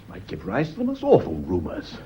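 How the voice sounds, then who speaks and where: A man's voice: low voice, huge pitch swings, brisk, dark tone, slight background noise. A man acting in a British radio play as an indignant, evasive widowed husband, haughty and agitated, with a British accent. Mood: protesting. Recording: dull lo-fi radio recording.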